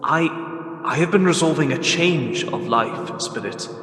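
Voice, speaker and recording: An actor playing a fearful, repentant old miser in a radio play, pleading solemnly and theatrically. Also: male voice, on the high side, animated, measured pace, a bit bright. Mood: earnest, reflective. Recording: echoey room with reverb, music behind.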